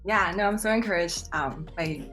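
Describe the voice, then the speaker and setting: A young woman from Taiwan, an entertainment journalist, speaks in a very low voice. She talks in a measured way, pausing often on "um" and "uh". Her voice comes through a video call with faint music underneath. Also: fairly bright tone. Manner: measured, many "um" and "uh" pauses. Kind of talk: panel interview answer.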